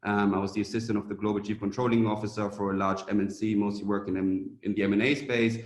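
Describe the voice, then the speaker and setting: Young man, from Germany, startup founder, conference talk, hesitant with many ums, fairly low voice, echoey room. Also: little pitch movement, quick pace. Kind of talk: conference presentation.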